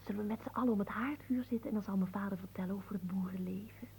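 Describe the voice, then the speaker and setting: An actress playing a young peasant sweetheart in a radio play, theatrical and emotional, naive, doting and quick to jealousy. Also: female voice, medium pitch, gravelly, dark tone, a little hiss or hum, lightly acted. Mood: tender, dreamy.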